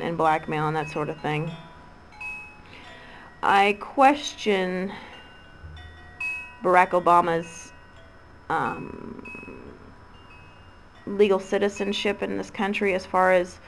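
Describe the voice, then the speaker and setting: A woman's voice: lowish voice, gravelly, a little hiss or hum, rough recording. A woman in her thirties with a Midwestern American accent talks in a rambling monologue. She hesitates constantly, filling gaps with ums and restarting her sentences. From Midwestern United States. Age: 30s. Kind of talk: rambling recorded voice message.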